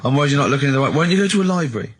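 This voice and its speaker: A man talks very rapidly in casual radio banter. His voice is mid-pitched, with clear noise and clicking behind it. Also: lively intonation.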